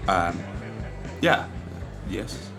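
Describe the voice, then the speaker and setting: An actor playing a melancholy, awkward businessman with a hesitant, halting delivery in an intimate audio drama. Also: deep voice, expressive, vocal fry, full character voice. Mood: uneasy, hesitant. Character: melancholy, awkward businessman confiding his past. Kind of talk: intimate audio fiction acting. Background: music.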